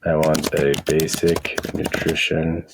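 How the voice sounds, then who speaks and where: A man with a husky voice speaking as a guest in an interview, explaining things in a halting way with frequent restarts. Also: very deep voice, little pitch movement, noisy background. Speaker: guest, tech company founder and CEO. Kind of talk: interview conversation with software demo.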